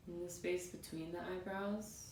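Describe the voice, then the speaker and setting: Woman meditation guide, soothing and spacious phrasing; roomy, echoing recording with faint background rumble. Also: steady tone, darkish tone. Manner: soothing, unhurried phrases with pauses. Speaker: yoga and meditation teacher. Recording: roomy, distant mic, faint noisy rumble.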